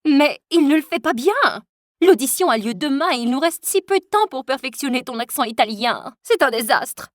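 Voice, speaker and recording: A woman voicing a glamorous, ambitious stage mother in a dubbed animated story, insistent and fussy, turning from sugary to sharp. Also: high-pitched, moderate pace, no room sound, clean studio sound. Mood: fretting.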